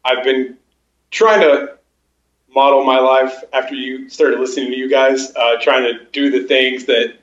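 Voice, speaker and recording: A man's voice: mid-range voice, fairly even intonation, unhurried, a bit bright. A young man talking as a podcast guest over a video call, chatty and self-mocking, often stumbling over his first words. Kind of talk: casual chat. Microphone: video call over a game console. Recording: echoey, muffled video-call audio.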